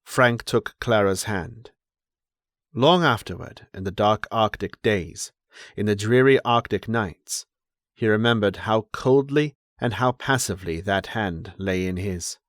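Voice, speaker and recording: Low-voiced man narrating a classic novel. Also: fairly bright tone, no echo, studio quality. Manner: measured, dramatic storyteller. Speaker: audiobook narrator. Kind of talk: scripted literary narration.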